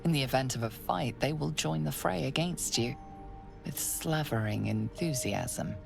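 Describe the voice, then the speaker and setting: A woman narrating a fantasy video game in a measured, ominous storytelling manner. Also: a bass voice, lively intonation, creaky, over music, exaggerated voice. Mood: cautioning. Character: composed, ominous female narrator of a fantasy quest.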